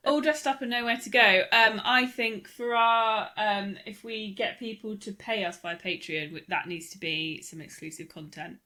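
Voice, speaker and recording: A British woman chats casually as a podcast co-host. Her voice sits at an average female pitch, and she has a dry, wry sense of humour in how she talks. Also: normal speed, few pauses, bright, forceful tone. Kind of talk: casual chat.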